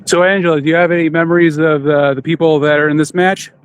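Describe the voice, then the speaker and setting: A man's voice: on the high side, little pitch movement, fast, clean tone, no echo. A man hosting a podcast in a casual, conversational way. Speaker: podcast host.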